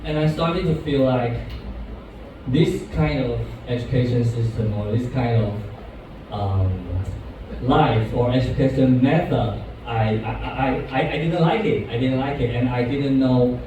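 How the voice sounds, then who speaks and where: A man's voice: medium pitch, noisy background. Echoey far-off Taiwanese man. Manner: halting, many restarts and fillers. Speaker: guest speaker. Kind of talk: alumni talk to students. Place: university classroom. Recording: echoey lecture room from afar.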